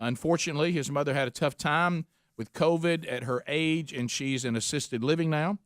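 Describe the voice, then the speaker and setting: A man's voice: fairly high pitch, a little fast, dry recording, studio quality. A man hosting a radio talk show in easy, chatty banter. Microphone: studio microphone.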